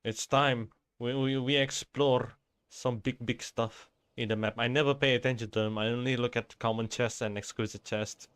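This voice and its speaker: Casual male gaming streamer, medium pitch. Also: steady tone, moderate pace, fairly bright tone, smooth. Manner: informal, mildly profane, reactive. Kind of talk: casual gameplay commentary. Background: faint game music.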